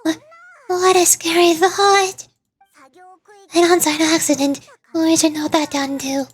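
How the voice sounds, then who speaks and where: A woman voicing a small, excitable, childlike companion in a video game. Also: extremely high pitch, measured pace.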